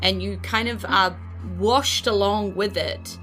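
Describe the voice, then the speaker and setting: A woman's voice: mid-range voice, takes it slowly, a bit bright, faint music behind, low-quality recording. A woman improvising narration as a game master, casual and a little hesitant as she thinks aloud. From New Zealand.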